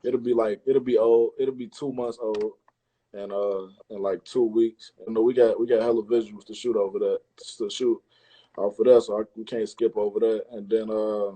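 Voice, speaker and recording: A man's voice: lowish voice, little pitch movement, normal speed, dark tone, slightly rough, low-quality recording. A man chatting casually as an interview guest, using a lot of slang and swearing. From Chicago, United States. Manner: slangy, profane. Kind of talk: casual chat.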